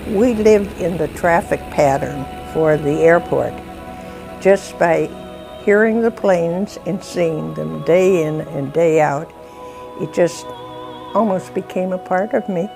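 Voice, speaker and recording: An older woman from suburban Chicago, a former pilot, remembering flying in a wistful, unhurried way. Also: on the low side, creaky, music in the background, poor audio. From suburban Chicago, Illinois. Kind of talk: documentary interview, reminiscing.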